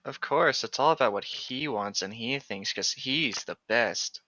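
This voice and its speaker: A man's voice: mid-range voice, a little slow, sharp tone, no room sound, muffled recording. A man co-hosting a read-along show, reading passages aloud and breaking off into casual, mocking jokes. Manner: jokey, mocking.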